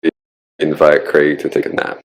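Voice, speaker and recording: A man with an Australian accent hosting a casual online group chat, musing in a relaxed way with frequent ums. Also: very deep voice, normal speed, low-quality recording. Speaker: podcast host.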